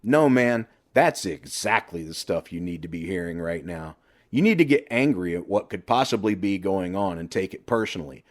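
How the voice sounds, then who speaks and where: A man's voice: deep voice, animated, normal speed. A man voicing a brash middle-aged best friend who lectures and needles bluntly in an audiobook. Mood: urging. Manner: blunt, emphatic, sweary banter.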